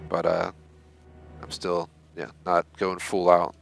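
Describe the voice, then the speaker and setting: A man's voice: deep voice, monotone, slow, vocal fry, music in the background. A young man giving casual, rambling live commentary while playing a game, swearing when frustrated. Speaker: game streamer.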